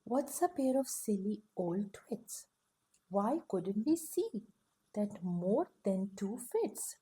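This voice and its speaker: A woman gently reading a bedtime picture book aloud, soft and soothing with a sing-song rhythm. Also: mid-range voice, rough recording. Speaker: storyteller.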